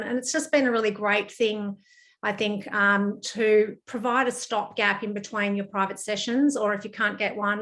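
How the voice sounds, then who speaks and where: A woman with a croaky voice from a sinus problem, leading an online counselling group session in a gentle, reassuring way with many ums and restarts. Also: medium pitch. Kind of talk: live video-call talk to a group. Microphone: computer mic on a video call.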